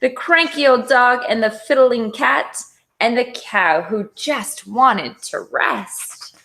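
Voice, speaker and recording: A woman reading a children's picture book aloud in an expressive storytelling style. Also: on the high side, slow pace, gravelly.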